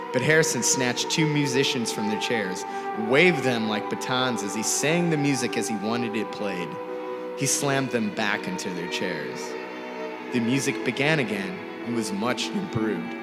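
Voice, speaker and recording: A man narrates a story with deliberate, theatrical phrasing. His voice sits at a medium pitch and he stretches certain words for drama. A loud musical score runs beneath him. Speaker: teacher reading aloud.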